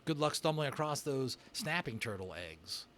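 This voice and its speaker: A man's voice: on the high side, expressive, moderate pace, fairly bright tone, a little hiss or hum. A man reading study questions aloud in an informal, conversational way, adding his own thoughts as he goes. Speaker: voice actor and book reader hosting a read-aloud. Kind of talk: reading discussion questions aloud with casual asides.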